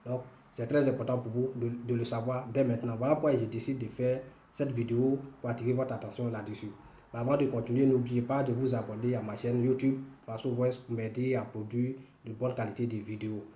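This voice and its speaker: An Ivorian-accented man, native in Woloduka, hosts a language lesson in a soft, dark-toned voice. He explains deliberately and repeats his key points. The room around him adds clear echo. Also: mid-range voice, fairly even intonation, slight background noise. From northwestern Ivory Coast. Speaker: language teacher, video host. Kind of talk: informal language lesson to camera. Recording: roomy, echoing room sound.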